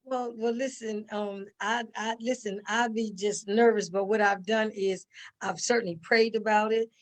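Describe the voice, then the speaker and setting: A woman's voice: medium pitch, fairly even intonation, normal speed. An older woman chatting as an interview guest, telling stories in a folksy, rambling way and repeating phrases for emphasis. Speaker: interview guest, stage actor. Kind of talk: casual storytelling chat.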